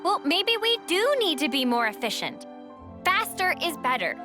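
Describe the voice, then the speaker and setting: A woman's voice: extremely high pitch, sing-song, normal speed, music in the background. A woman playing a perky, eager young girl fighter in a video game, chirpy in manner. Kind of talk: video game cutscene acting.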